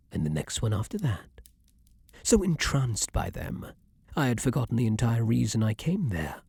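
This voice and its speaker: A man acting out an ancient vampire king for an intimate ASMR roleplay. The voice is low and husky, slow and dramatic, with haughty, formal phrasing over a brooding romantic streak. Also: dark tone, no echo, heavily acted. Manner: formal archaic phrasing, dramatic pauses, breathy murmurs.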